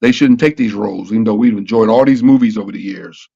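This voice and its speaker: A man's voice: lowish voice, quick pace, creaky, mellow, breathy edge, no echo, highs cut off. A man hosting a casual panel talk show, arguing emphatically and repeating himself as he builds his point. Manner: repetitive, emphatic, argues with rhetorical questions.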